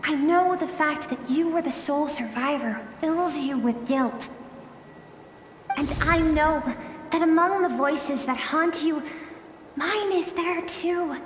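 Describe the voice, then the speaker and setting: A gentle, earnest young girl from a video game, her voice high and tender. She speaks slowly and pleadingly, ringing out in an echoey hall with soft music behind her. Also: a little hiss or hum. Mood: compassionate, sad. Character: earnest, gentle young girl, a warrior's lost childhood self. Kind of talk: scripted video game voice acting.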